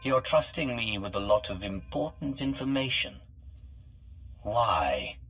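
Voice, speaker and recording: A man's voice: on the low side, big pitch swings, a little slow, a bit bright, soft background music, full character voice. A man voicing a wary, blunt hero in a video game, with a dry, questioning manner. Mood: wary, challenging. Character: wary, blunt male galactic hero, commanding and skeptical. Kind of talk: dramatic video game acting.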